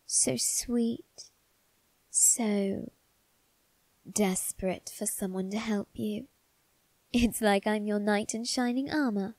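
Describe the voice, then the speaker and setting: A suave young vampire lord, acted out by a woman, murmurs to the listener in a mellow, unhurried voice. He is doting and genteel, with a condescending, faintly sinister sweetness. It is an intimate ASMR roleplay, recorded close and dry. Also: mid-range voice, dry recording. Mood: tender, teasing. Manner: genteel, coaxing, softly condescending.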